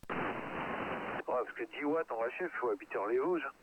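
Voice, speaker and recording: A man's voice: mid-range voice, expressive, normal speed, noisy background. Man on a tinny radio link. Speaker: radio amateur. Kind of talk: casual radio chat.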